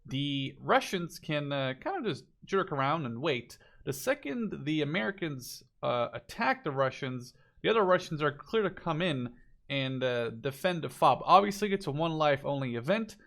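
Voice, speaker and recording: A man's voice: a little high, big pitch swings, moderate pace, gravelly, fairly bright tone. A man giving casual, unscripted video game commentary as a spectator, thinking aloud in an informal way.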